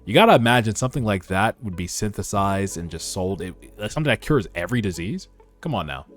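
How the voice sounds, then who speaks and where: Low-voiced man giving casual commentary over music. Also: expressive, fast. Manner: informal, slangy, conversational asides. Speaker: host of a webtoon read-along. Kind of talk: casual reaction commentary mixed with narrated reading. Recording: music under the voice. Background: background music.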